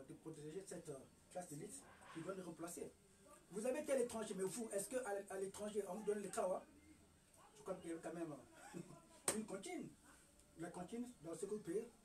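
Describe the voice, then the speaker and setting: A man's voice: sits high, a little mellow, recorded from a distance, low-quality recording. A man from the Congo lecturing on diet and remedies, hectoring with rhetorical questions and stammered restarts. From Republic of the Congo. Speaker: herbal remedy promoter. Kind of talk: lecturing monologue.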